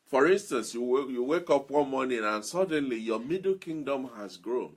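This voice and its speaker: A man's voice: medium pitch, lively intonation, normal speed. A man giving an earnest motivational talk as a self-improvement speaker, repeating key phrases for emphasis.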